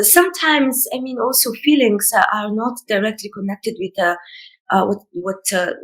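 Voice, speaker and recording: Female author from Italy, hesitant, halting, thinks aloud. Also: mid-range voice, low-quality recording. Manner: hesitant, searching for words with many fillers. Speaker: author in conversation. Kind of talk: reflective conversation.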